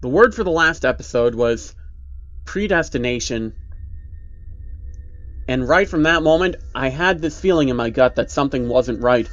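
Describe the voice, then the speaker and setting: A man's voice: mid-range voice, animated, moderate pace, long pauses, no room sound, lo-fi sound. A man narrating a creepy first-person story as a storyteller. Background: background music.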